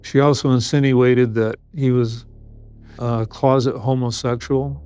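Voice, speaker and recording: An American man recounts a case in an interview, as a detective would. His mid-pitched voice is measured and matter-of-fact, with faint music underneath. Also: steady tone, dark tone, smooth.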